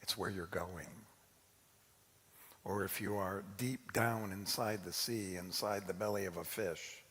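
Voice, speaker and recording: A man's voice: low-pitched, fairly even intonation, unhurried, a bit bright, breathy edge. A man delivers a dramatic spoken-word address, putting rhetorical questions and lines straight to the listener. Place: large synagogue hall. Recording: reverberant large hall.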